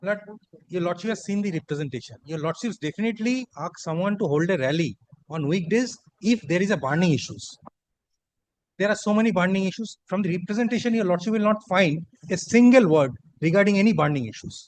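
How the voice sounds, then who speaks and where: A man with a Bengali Indian accent argues formally as a lawyer before a judge. He speaks quickly in a high, dry-sounding voice, keeping a deferential manner. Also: animated, dry recording, rough recording. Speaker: lawyer making submissions to a judge. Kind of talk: formal courtroom argument.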